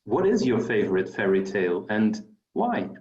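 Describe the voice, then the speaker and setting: A man's voice: on the low side, sing-song, moderate pace, a little mellow. A man asking questions conversationally as an interviewer. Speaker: interviewer, special collections curator. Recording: echoey room, dull muffled sound.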